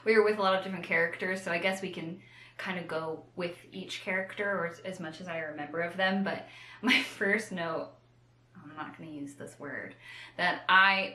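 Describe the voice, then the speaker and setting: A woman's voice: lowish voice, moderate pace, sharp tone, reverberant. A woman in casual, unscripted chat as co-host of a book show, rambling and self-deprecating, often slipping into acted-out quotes.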